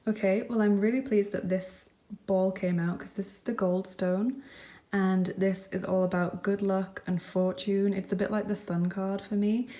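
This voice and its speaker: A woman giving a relaxed, reassuring pick-a-card tarot reading, thinking aloud with soft fillers as she goes. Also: medium pitch, moderate pace. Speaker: tarot reader.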